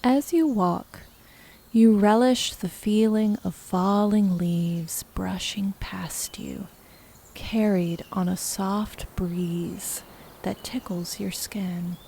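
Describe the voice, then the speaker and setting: A woman narrating a guided meditation softly and slowly, breathy and often close to a whisper. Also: mid-range voice, fairly even intonation, slight background noise. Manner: soft, slow, partly whispered.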